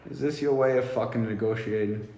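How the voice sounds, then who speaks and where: A man's voice: mid-range voice, steady tone, a little fast, warm tone, natural voice. A man in an amateur table read playing a pushy, foul-mouthed recruiter of mercenaries, impatient and shady. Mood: curt, menacing. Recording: echoey reverberant room, background noise.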